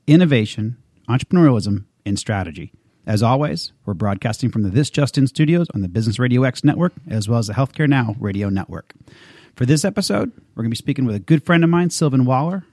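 A man's voice: medium pitch, a little mellow, no echo, clean studio sound. A man hosting a radio interview show, chatting casually with many fillers and restarts.

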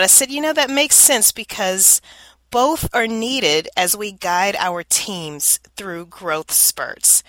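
Bright upbeat woman podcast host. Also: mid-range voice, dry recording, poor audio. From Louisiana. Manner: upbeat, explanatory. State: congested from allergies, slightly nasal. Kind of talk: solo monologue podcast.

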